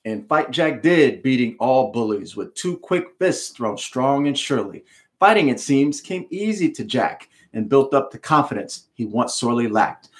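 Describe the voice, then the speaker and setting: A middle-aged man with an American accent performs a read-aloud for an audience of listeners. His delivery is emphatic and theatrical, using deliberate pauses and repetition, in a medium-pitched voice. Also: very animated. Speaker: author reading his book aloud. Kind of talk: expressive storybook read-aloud with explanatory asides. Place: home room with bookshelves, indoors.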